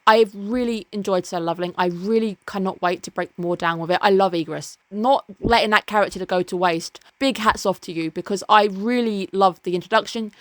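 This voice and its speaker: A woman's voice: mid-range voice, dry recording. Chatty, enthusiastic woman. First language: English. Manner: chatty and enthusiastic, with quick asides and laughs. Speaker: anime reviewer. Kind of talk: casual video review.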